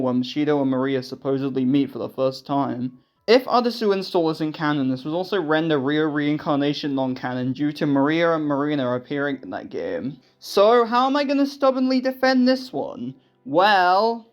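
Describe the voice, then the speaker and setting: A man's voice: a little high, muffled recording. A man giving casual scripted commentary as a video essayist, with a sarcastic, self-deprecating manner and some swearing.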